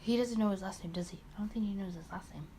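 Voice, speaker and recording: Woman, mid-pitched voice, expressive game read-aloud, muttered asides, self-corrections, faint background noise. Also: fast. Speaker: gaming video host reading a visual novel aloud. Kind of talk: let's play read-aloud with casual asides.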